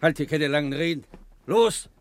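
An actor playing a gruff police constable who shouts commands in a comic drama. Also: male voice, a little high, moderate pace, close to an everyday voice. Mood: barking, commanding. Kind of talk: comic German drama acting.

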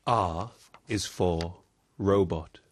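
Slow, theatrical man reciting over loud music. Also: deep voice. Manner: florid, deliberate, declamatory. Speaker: performer.

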